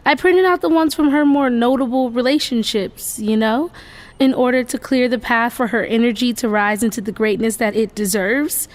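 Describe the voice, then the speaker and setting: A woman's voice: sits high, natural voice. An actress playing a meek, eager, scatterbrained American woman in a comic audio play, apologetic and earnest.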